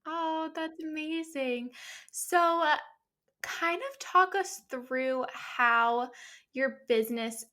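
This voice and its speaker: A woman's voice: high-pitched, slow pace, sharp tone. A young adult woman hosting a podcast interview, upbeat and chatty, with lots of "like" and "kind of" fillers. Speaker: podcast host. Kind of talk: casual interview chat.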